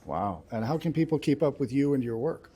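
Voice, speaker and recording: A man's voice: mid-range voice, animated, brisk, warm tone, husky. A man interviewing a guest in a casual, conversational style.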